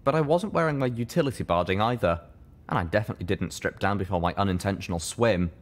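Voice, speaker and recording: A man's voice: on the low side, fast. A man reading a scripted first-person story aloud as its narrator. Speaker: fan fiction reader, narrator.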